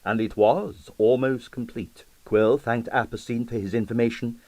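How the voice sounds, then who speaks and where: A man's voice: mid-range voice, steady tone, normal speed, mellow, dry recording. A man reading a comic mystery story as a scripted, dramatic audiobook narration.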